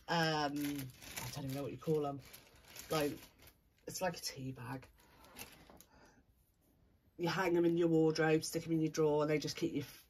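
A woman's voice: sits low, darkish tone, slight background noise. A woman with a stuffy, bunged-up voice from a cold, chattily showing off her handmade crafts and listing the price of each. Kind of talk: casual show-and-tell vlog. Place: indoors at a craft table.

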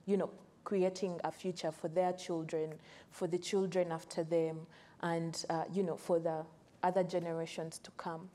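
A young woman, an activist, speaking earnestly and in a measured, deliberate way on a panel. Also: fairly low pitch, dark tone. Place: stage at an indoor panel event.

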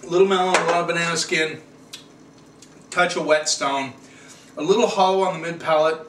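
A man's voice: fairly high pitch, fairly even intonation, a little fast. A middle-aged American man hosting a casual wine review, chatting to camera with rambling asides in a small indoor room. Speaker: wine reviewer and show host. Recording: roomy, distant mic, slight noise.

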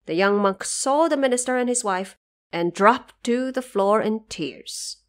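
A woman's voice: a little high, animated, unhurried. A woman narrator telling a scripted story for an audio drama.

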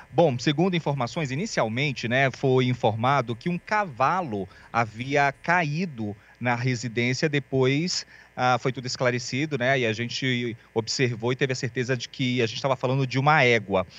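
Young man, live TV reporter, handheld mic, mid-pitched voice, chatty and informal, slightly dull broadcast sound. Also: a bit bright. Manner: chatty, with lots of "né" fillers and small jokes.

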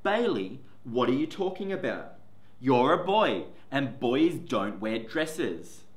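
A man's voice: mid-range voice, big pitch swings, slow, roomy sound. A man doing a stern, dismissive parent.

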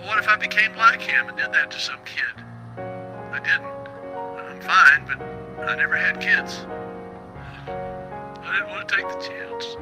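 An actor playing a troubled, high-strung radio host in a game's radio drama, halting when confiding and easily exasperated. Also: male voice, expressive, music in the background, low-quality recording. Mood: pained confession. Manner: halting confessions, exasperated outbursts. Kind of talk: radio drama acting in a game.

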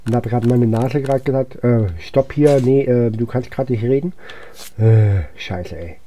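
A man with a very dark, mellow voice comments casually on a game he is playing. He talks as if thinking aloud, in an unhurried way, with a soft-edged, muted tone. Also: mid-range voice, slight background noise. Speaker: gaming video host. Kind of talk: casual let's-play commentary.

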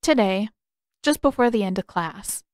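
A woman's voice: mid-range voice, animated, moderate pace, dry recording, clean studio sound, close to an everyday voice. A woman voicing an eager, naive, chatty young boy in an audiobook story. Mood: hesitant, dejected. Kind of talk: character dialogue in audiobook narration.

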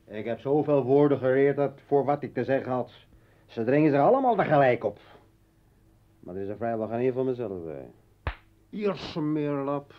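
An actor as mocking, volatile militant, distant and echoey. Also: male voice, mid-range voice, very animated, normal speed, close to an everyday voice. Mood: jeering. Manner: mocking, sardonic, theatrical. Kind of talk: Dutch radio play acting. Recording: echoey, distant, dull, faintly noisy radio recording.

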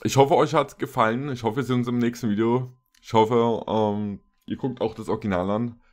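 A man's voice: mid-range voice, steady tone, a little fast. A man in his twenties giving casual, joking reaction commentary in a rambling, mock-serious way. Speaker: reaction video host. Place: small indoor gaming room.

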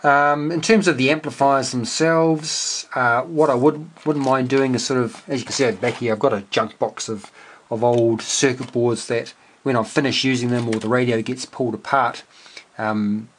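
A middle-aged man talks through his hobby at a workbench in a small room. He is casual and understated, often pausing to think aloud, with a medium-pitched voice picked up a short distance from the camera. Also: steady tone, slight background noise. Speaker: amateur radio hobbyist and video host. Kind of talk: casual unscripted workbench explanation. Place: small indoor room at a cluttered desk workbench.

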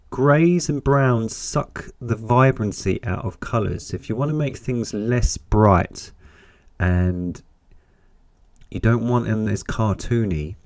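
A man with a cold, croaky and deep, chatting through a step-by-step painting lesson with an encouraging manner. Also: dry recording. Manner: chatty, encouraging, thinks aloud while painting. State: hoarse with a cold. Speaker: painting teacher.

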